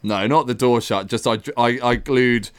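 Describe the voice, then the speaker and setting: A man's voice: moderate pace, smooth, dry recording. A young man telling a story in casual podcast chat, acting out the other people's lines as he goes. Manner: animated, acts out quoted voices with rising pitch. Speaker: podcast co-host.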